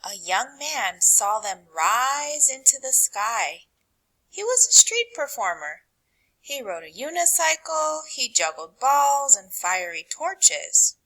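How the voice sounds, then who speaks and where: A woman reading a picture book aloud to children, with dramatic, deliberate phrasing that drops to a hush and rises for emphasis. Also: sits high, very animated, low-quality recording. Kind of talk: expressive read-aloud narration for children.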